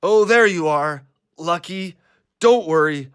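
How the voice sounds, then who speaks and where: Man acting a troubled ex-logger, gruff, high-pitched, slow muttering, sharp edge, audio drama. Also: dry recording, clean studio sound. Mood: fond, determined. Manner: gruff, muttering to himself and his dog. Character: troubled, gruff injured ex-logger, fond of his dog.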